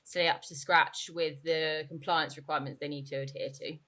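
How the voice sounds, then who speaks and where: A woman speaks as an expert guest in an interview. Her voice sits a little lower than usual. She explains in an informal, conversational manner, often restating her point. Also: level tone, moderate pace, fairly bright tone. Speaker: interviewee, company growth director.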